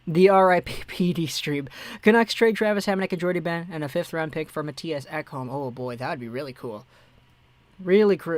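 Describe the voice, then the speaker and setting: A man's voice: a little high, dry recording. A young man hosting a casual hockey live stream, chatting and answering viewer messages as they come in. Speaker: hockey livestream host.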